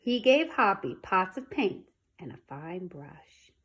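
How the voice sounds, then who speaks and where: A woman reading a children's storybook aloud in an expressive, dramatic manner. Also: mid-range voice, slow pace, breathy edge, lo-fi sound. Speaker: storybook reader.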